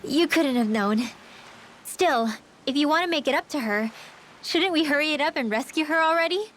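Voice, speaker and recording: A woman's voice: high-pitched, animated, normal speed, slight background noise. A woman voicing a caring, orphaned young mage girl in an English video game dub, speaking casually and candidly. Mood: earnest, encouraging. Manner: casual, candid, gently encouraging.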